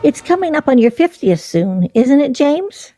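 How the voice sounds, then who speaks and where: A woman's voice: sits high, big pitch swings, normal speed, mellow, faint music behind. An actress in a radio play playing an insistent, questioning woman nearing fifty who prods with emphatic delivery. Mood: needling.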